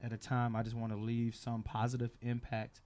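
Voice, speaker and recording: A man's voice: mid-range voice, completely flat delivery, brisk, darkish tone. An American man chatting casually as an interview guest, somewhat hesitant as he searches for his words. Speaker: guest, musician and dancer.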